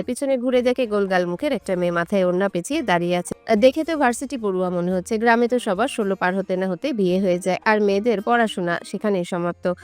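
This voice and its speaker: A woman's voice: medium pitch, clear, resonant tone. A woman reading a scripted romantic story in a dramatic narrating style.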